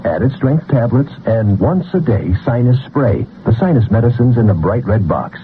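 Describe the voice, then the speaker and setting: A male announcer sells in a scripted radio ad, his medium-pitched voice moving with lively inflection. The recording is dull and lo-fi. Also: moderate pace, soft background music. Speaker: commercial announcer. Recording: muffled old radio ad, faint noise.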